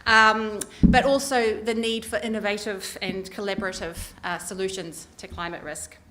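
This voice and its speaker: A woman's voice: medium pitch, fairly even intonation, moderate pace, background noise. New Zealand woman giving a campaign speech. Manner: earnest, many ums and uhs. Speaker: council election candidate. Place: community hall meeting room.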